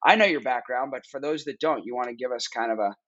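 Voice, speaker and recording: A man hosting a podcast, relaxed and rambling as he introduces his guest. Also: mid-range voice, quick pace, a loud crowd. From Boston area, USA. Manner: relaxed, rambling, many fillers. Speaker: podcast host. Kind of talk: casual interview chat. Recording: slightly dull lo-fi call audio.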